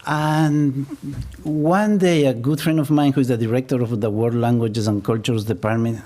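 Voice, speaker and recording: Middle-aged guy w/ ecuadorian spanish accent, mellow warm voice, lecturing, pokes fun at himself. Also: mid-range voice, steady tone, normal speed. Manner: self-deprecating, chuckles at own jokes. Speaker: business professor. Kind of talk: public lecture.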